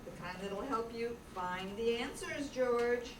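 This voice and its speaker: A woman playing a gentle, matchmaking older mother in an old-time radio drama. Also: a little high, takes it slowly. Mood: gentle, nudging. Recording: noisy echoey room, distant mic.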